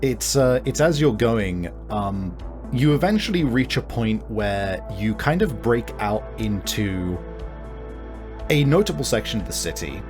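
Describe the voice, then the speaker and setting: A man narrates a tabletop roleplaying scene in a low voice over clearly audible music. He takes his time, feeling his way through each description with frequent pauses. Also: sing-song. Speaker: game master. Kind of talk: improvised tabletop game narration.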